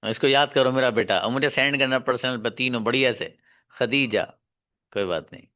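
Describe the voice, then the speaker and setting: A man's voice: medium pitch, a little fast, pushed and bright. A man teaching an online English class, calling students by name and praising each one briefly.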